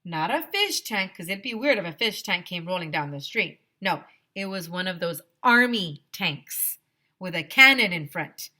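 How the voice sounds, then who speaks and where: A woman teacher reading a children's story aloud in an expressive, dramatic, emphatic storytelling manner. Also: on the low side, normal speed.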